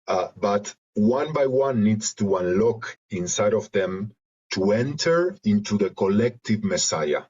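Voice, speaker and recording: A man from Argentina with a Spanish accent, reflecting in an interview in halting, searching phrases over a video call. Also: fairly low pitch, expressive, darkish tone, husky. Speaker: interview guest, creative and therapeutic facilitator. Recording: dull lo-fi video call audio.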